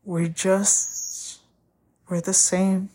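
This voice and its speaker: A woman whose first language is Spanish, hosting a podcast and talking candidly about a breakup, often pausing to find her words. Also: mid-range voice, little pitch movement, warm tone, breathy edge. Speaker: podcast host. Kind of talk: personal monologue.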